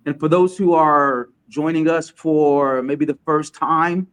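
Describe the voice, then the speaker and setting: A man from Chad hosting an online talk show, speaking casually with frequent restarts and pauses as he sets things up and welcomes viewers. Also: on the high side, fairly even intonation, a bit bright, smooth. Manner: halting, many restarts while setting up. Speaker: host. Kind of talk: casual livestream interview hosting.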